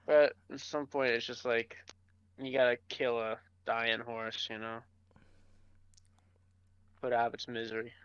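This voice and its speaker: A man's voice: medium pitch, little pitch movement, a little slow, pauses often, fairly bright tone, clear, resonant tone, no room sound. A man co-hosting a podcast in casual, slangy banter, joking and self-deprecating, on a slightly lo-fi close microphone. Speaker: podcast co-host.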